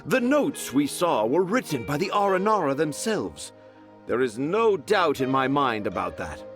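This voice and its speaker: A man's voice: fairly high pitch, sing-song, a little slow, music in the background. A man acting a boastful, theatrical storyteller in a video game, with a pompous, grandiose showman's delivery. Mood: pompous, assured.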